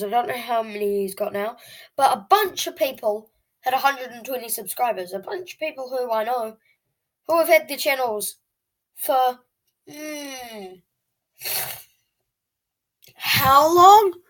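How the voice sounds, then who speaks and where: Kid around eleven doing a story time vid, high voice, kinda whiny and dramatic, chatting to viewers. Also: lively intonation, a little slow, long pauses, fairly bright tone, low-quality recording. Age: child, eleven years old. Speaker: video channel host.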